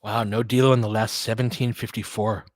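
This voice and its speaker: A man's voice: lowish voice, little pitch movement, normal speed, dry recording. Man calling basketball, dry close sound. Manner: conversational, opinionated asides. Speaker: play-by-play commentator and host. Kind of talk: casual live basketball commentary with fan chat.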